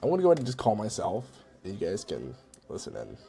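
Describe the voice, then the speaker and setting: A man with a low, creaky voice and a mellow tone. He speaks informally and conversationally, like someone walking viewers through a tutorial. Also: huge pitch swings, quick pace, a little hiss or hum. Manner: informal, conversational, checks in with "right?" and "you know". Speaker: tutorial presenter. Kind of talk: casual screen-recorded software walkthrough.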